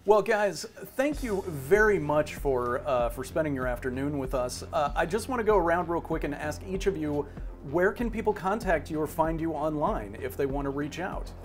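A man's voice: fairly high pitch, expressive, normal speed, faint music behind. A middle-aged man casually hosting an interview on a video call. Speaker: host. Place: indoor room, on a video call.

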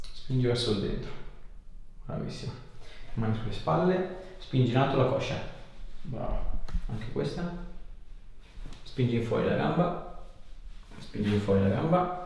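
A man's voice: medium pitch, lively intonation, a little slow, creaky, pauses often, darkish tone. A man, a chiropractor giving short instructions and questions during a hands-on exam. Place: small indoor treatment room. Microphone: camera a few steps away. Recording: very echoey small room, faint noise.

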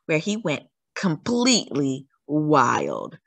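A woman's voice: low-pitched, huge pitch swings, slow pace, vocal fry, a bit bright, no echo. A woman reading a children's story aloud expressively, stretching words out for theatrical emphasis.

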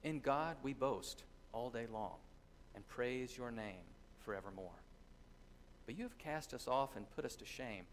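A middle-aged man preaches to his congregation from a church sanctuary, his voice sitting at an ordinary pitch. He teaches earnestly and pauses to think between phrases. A faint low rumble hangs under the recording. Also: expressive. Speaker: pastor. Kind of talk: sermon with scripture reading. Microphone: distant camera, lectern mic.

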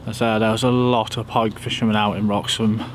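Even-toned young man, noisy outdoors. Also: lowish voice, a little fast. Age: young adult. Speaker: angling vlogger. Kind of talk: casual talk to camera. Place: outdoors by a river boatyard. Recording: clearly noisy outdoor ambience.

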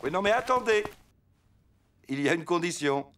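Animated, kindly papa bear. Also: male voice, high voice, normal speed, recorded from a distance, slight background noise. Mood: patient, instructing. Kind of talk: preschool cartoon dialogue.

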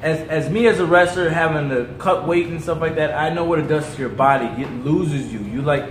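Young male co-host, upbeat and talky, a little higher voice, roomy sound. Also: fairly quick. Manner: chatty and energetic, gestures a lot. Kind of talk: casual chat. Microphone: camera a few feet away. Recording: echoey room with faint noise.

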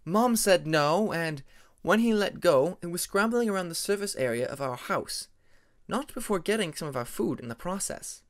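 A man voicing a friendly, gently teasing young female sea dragon in an audiobook, with a light, animated delivery. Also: sits high, moderate pace, voice pushed up. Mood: amused.

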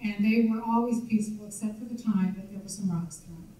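A woman's voice: mid-range voice, a little fast, warm tone. Older woman reminiscing in an echoey hall. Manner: chatty, rambling recollection with fillers. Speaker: audience member sharing memories. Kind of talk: recollecting at a public meeting. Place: large banquet hall. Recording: echoey hall, distant mic.

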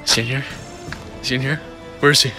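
A man's voice: mid-range voice, fairly even intonation, fast talker, music in the background, natural voice. Man playing a dogged, muttering beast on the hunt. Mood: searching, intent. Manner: repetitive muttering to himself. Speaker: puppeteer voicing a character. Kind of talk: improvised home puppet film dialogue.

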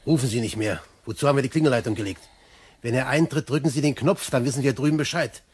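Brisk, calm police inspector played by an actor. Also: male voice, medium pitch, breathy edge, lightly acted. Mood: calm, reassuring. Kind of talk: German crime radio play acting.

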